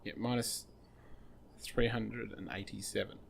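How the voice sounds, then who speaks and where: A slightly hoarse man hosting a gaming channel, thinking aloud with many fillers as he plays. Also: on the low side, steady tone, unhurried, slight background noise. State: slightly hoarse, losing his voice. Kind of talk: casual gameplay commentary.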